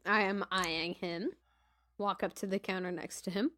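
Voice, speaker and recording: A woman's voice: medium pitch, steady tone, normal speed, fairly bright tone. A woman playing a tabletop role-playing game, describing what her character does. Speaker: tabletop game player, podcast host.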